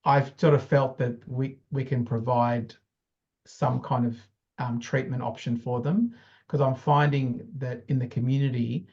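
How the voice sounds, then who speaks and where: A man's voice: mid-range voice, steady tone, a little slow, darkish tone. Man speaking casually, dull muffled audio. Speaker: course participant, dentist. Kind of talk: casual self-introduction to a group.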